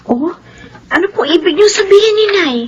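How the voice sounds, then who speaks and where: A woman's voice: very high-pitched, animated, fairly quick, dull audio. A woman acting as a caring, respectful young student, a nanny's daughter, in a Filipino radio drama. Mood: uneasy. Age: young adult. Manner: polite, deferential.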